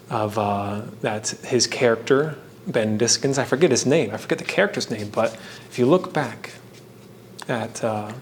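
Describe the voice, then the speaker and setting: A man giving casual, rambling commentary as the host of a hands-on craft video, with a halting delivery that meanders and trails off between thoughts. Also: mid-range voice, slight background noise. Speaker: host of a painting and fan trivia video.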